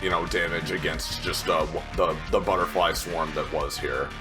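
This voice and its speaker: A man's voice: low-pitched, steady tone, normal speed, over music. A man chatting casually as a player in a tabletop roleplaying game, talking through his rolls and attacks.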